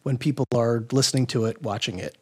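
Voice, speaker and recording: Male interview guest, average pitch, agreeable explainer who teaches through rhetorical questions. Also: fast, slightly rough. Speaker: guest expert, audio drama maker. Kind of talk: casual explanatory interview talk.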